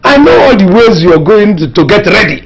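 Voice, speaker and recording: A man's voice: high-pitched, big pitch swings, brisk, background noise. An actor with a Nigerian accent playing a distrustful middle-aged husband and father who scolds and scorns. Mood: contemptuous. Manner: scornful, scolding, repeats himself.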